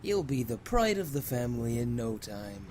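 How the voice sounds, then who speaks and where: Man voicing composed program official, mellow tone, varied pitch, reassuring, drama acting, slight ambience. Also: big pitch swings, moderate pace, slight background noise, natural voice. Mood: assured.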